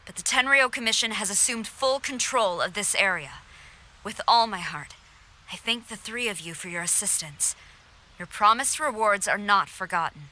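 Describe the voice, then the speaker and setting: A woman voicing a stern, dutiful female general who speaks formally and with measured command. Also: medium pitch, pushed and bright. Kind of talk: game cutscene voice acting.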